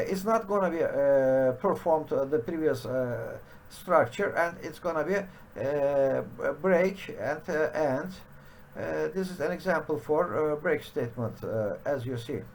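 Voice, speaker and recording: Brief: middle-aged man, very slow tutorial delivery, slightly high voice, constant fillers. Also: a little hiss or hum. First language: Turkish. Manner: halting, many "uh" fillers. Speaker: programming teacher. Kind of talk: screen-share coding tutorial. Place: home study with bookshelves.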